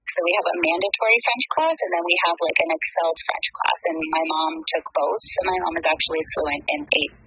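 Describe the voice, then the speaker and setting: A woman's voice: on the low side, sing-song, quick pace, hardly any pauses, noisy background. A young Canadian woman chatting as an interview guest, full of ums and laughs, talking over a call from a train. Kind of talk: casual interview chat. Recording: muffled lo-fi call audio.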